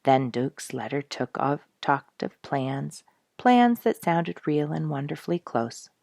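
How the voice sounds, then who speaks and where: Female audiobook storyteller: low register, gravelly fry, earnest, expressive scripted reading. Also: slow pace, dry recording, clean studio sound. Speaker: storyteller reading a book aloud.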